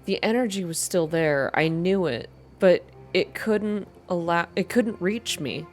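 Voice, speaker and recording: A woman with a low voice reads a story as ASMR narration. Music plays softly behind her as she moves through the narrative. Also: unhurried. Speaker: reader. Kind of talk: ASMR reading of a story game.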